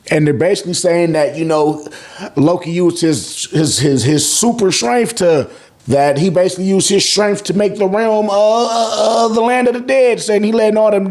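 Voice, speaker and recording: A man with a fairly high voice hosts an animated, casual story recap. He talks in loose, profane slang and acts out reactions as he retells things. Words often come out in repeated bursts before the sentence moves on. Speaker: host of a manga review channel.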